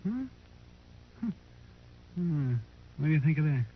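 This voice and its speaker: A man's voice: a little high, very animated, measured pace. An actor playing a folksy, wry frontier town doctor in a 1950s radio western, muttering as he works. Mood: matter-of-fact. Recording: muffled, faintly noisy 1950s radio recording.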